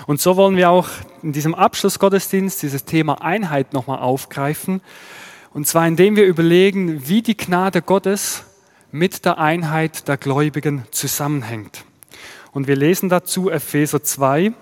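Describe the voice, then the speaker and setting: Swiss guy preaching, slightly high voice, calm explaining, lots of reverb like a big church. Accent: Swiss German. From Switzerland. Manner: measured, explanatory, poses rhetorical questions. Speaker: pastor. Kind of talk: sermon.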